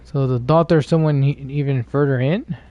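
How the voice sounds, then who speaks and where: A man's voice: medium pitch, fairly even intonation, normal speed, lo-fi sound. A man streaming a video game with casual live commentary, swearing and reacting to himself.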